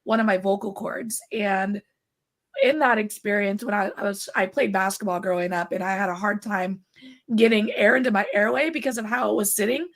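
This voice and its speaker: A woman with a raspy voice, hosting a podcast interview in a casual, easygoing way. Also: mid-range voice, steady tone, brisk, a bit bright. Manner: laughs often, easygoing. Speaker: podcast host.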